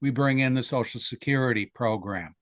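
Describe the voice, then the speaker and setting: A man's voice: medium pitch, fairly quick, a bit bright, no echo. An older man with an American accent, speaking as a historian guest in an interview and thinking aloud in a discursive way. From United States.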